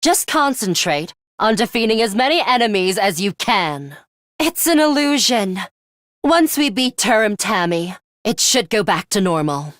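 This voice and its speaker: A woman playing a stern, ruthless young female fighter in a video game, speaking in a terse, measured and commanding manner. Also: mid-range voice, big pitch swings, a bit bright, no echo, studio quality. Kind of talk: scripted video game voice acting.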